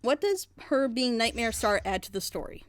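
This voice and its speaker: A woman's voice: a little high, sing-song, moderate pace. A woman in a group reaction show, critically breaking down a plot twist with rhetorical questions. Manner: critical, analytical, builds argument with rhetorical questions. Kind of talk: animated group reaction chat.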